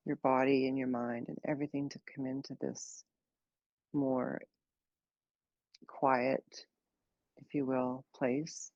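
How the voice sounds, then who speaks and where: A woman leading a guided meditation, speaking slowly and gently in an unhurried way with long pauses. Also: a bass voice, dry recording, highs cut off. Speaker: meditation teacher.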